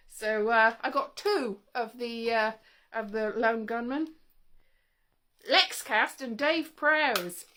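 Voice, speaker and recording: A woman with a high-pitched, bright voice speaks in an informal vlog monologue. She is chatty and wry, wandering from one thought to the next and cutting herself off as she goes. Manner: chatty, wry, self-interrupting. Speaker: vlogger showing old interview tapes. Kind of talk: casual rambling monologue to camera.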